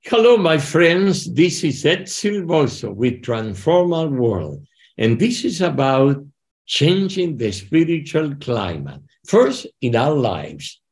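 A man's voice: on the high side, sing-song, slow, darkish tone. An older man hosting a faith podcast over a video call from his living room, speaking with fervent, preacher-like emphasis. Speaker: podcast host. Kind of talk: conversational interview.

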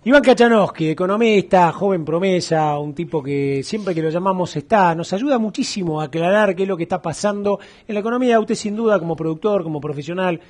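Brief: middle-aged Argentine man, husky and fairly high, lively studio interviewer. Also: fairly quick, few pauses. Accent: Argentine Spanish. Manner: animated, gestures a lot, acts out quoted voices. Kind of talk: casual interview chat.

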